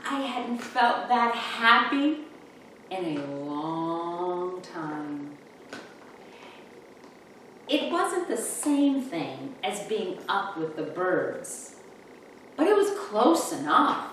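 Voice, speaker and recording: A woman reads a children's picture book aloud, taking her time with very slow, deliberate phrasing. Her delivery is expressive, with pitch that moves freely. The recording is very echoey and sounds some distance from the microphone. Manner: deliberate, expressive storytelling in first person. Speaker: storybook reader. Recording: echoey, distant mic, faint room noise.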